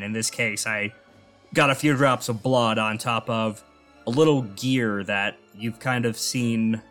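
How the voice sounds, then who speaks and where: A man's voice: mid-range voice, a bit bright. A man playing a tabletop game, talking easily as he explains his character and its gear. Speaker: player. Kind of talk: casual tabletop game chat.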